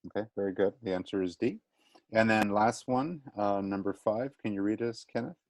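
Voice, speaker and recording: A man's voice: fairly low pitch, normal speed, dry recording. A man teacher leading a small online class discussion, encouraging students and checking in often. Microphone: video call.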